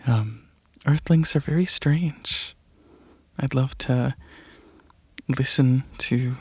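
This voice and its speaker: A man voices a shy alien dragon, gentle and curious, in a soft ASMR roleplay. The delivery is hesitant and earnest, with a fairly flat melody and a husky, breathy grain. The recording is very dry and close, a little muffled. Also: medium pitch, no echo. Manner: hesitant, halting, softly earnest.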